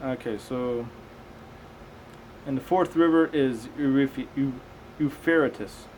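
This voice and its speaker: A man's voice: medium pitch, slow pace, darkish tone, a little hiss or hum, rough recording. A man reading scripture aloud, stumbling over unfamiliar words and dropping in casual, conversational comments.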